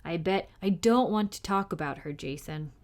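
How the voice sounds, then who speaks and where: A woman's voice: lowish voice, moderate pace, lightly acted. A woman voicing a guarded, moody young schoolboy in a storybook reading, with a reluctant manner. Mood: sullen. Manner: muttering, reluctant.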